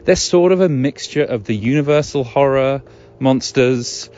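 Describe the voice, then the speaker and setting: A man's voice: mid-range voice, normal speed, a little mellow. A middle-aged man, musing alone as a podcast host in a rambling, wry, hesitant way full of wordy asides. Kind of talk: musing solo podcast monologue. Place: outdoors in the woods. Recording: dull lo-fi recording, ambient noise.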